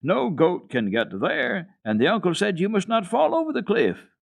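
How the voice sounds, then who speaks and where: A man's voice: high-pitched, big pitch swings, moderate pace, vocal fry, voice pushed up, full character voice. A man voicing a bossy, anxious young goatherd boy in a storybook reading, calling out and scolding. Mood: strong disapproval.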